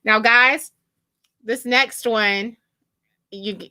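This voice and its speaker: A woman, co-hosting a casual live chat in an animated, chatty way. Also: a little high, extremely slow, no room sound. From West Texas. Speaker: co-host.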